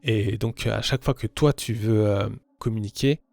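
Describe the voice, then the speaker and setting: A man improvising narration as game master of a tabletop horror game, halting and pausing often between phrases. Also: lowish voice, creaky, a little mellow, no room sound.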